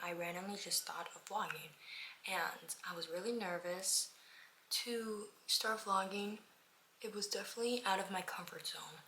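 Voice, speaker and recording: A woman in her twenties from Miami, chatting casually while doing her makeup on her first vlog, upbeat with lots of fillers and some soft whispery asides. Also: fairly low pitch, slight background noise, low-quality recording. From Miami, United States. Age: 20s. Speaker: vlogger. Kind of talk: casual get-ready-with-me chat.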